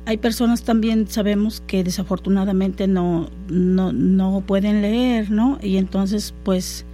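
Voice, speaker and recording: A woman's voice: mid-range voice, steady tone, measured pace, dark tone, faint music behind. A woman whose first language is Totonac, speaking in a conversational interview, hesitant with many fillers and restarts. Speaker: guest interviewee.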